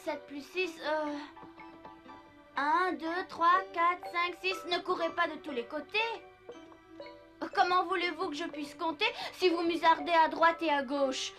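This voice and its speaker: A cheeky little wooden puppet boy in a cartoon dub. The voice is high and bright, hesitant and easily sidetracked, with music playing behind it. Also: steady tone, a little slow, full character voice. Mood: stalling, distracted.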